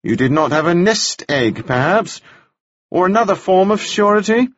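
A man's voice: sits high, expressive, moderate pace, no room sound, highs cut off, pitched above the performer's own voice. A man voicing a sly male prosecutor who questions a witness with pointed, pleasant-sounding phrasing. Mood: probing.

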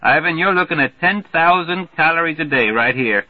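A man reading an audiobook, voicing a jovial, loud, backslapping fat American army major who laughs heartily. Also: fairly high pitch, expressive, normal speed, dry recording, voice pushed up, lightly acted. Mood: boisterous. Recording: tinny phone-like band, dry.

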